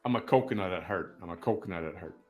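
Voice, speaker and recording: A man's voice: low-pitched, quick pace, soft background music. A man in his fifties from Chicago hosting a live-stream chat show, rambling, opinionated and joking as he reads out viewer comments. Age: 50s.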